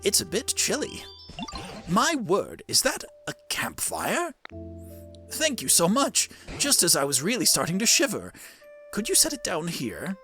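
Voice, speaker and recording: Man putting on a kindly old professor creature, lively and bright. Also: high-pitched, a little slow, soft background music, heavily acted. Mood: shivering, grateful. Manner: folksy, avuncular exclamations and phrasing. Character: kindly old professor, a tangly vine creature, warm-hearted. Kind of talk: video game character voicing.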